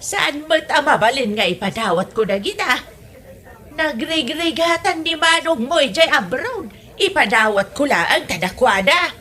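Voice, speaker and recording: A woman playing a stingy, meddling Ilocano mother-in-law in a comedy audio drama, with an Ilocano accent and a husky voice. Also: high voice, lively intonation, moderate pace, sharp tone. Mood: scolding, stubborn.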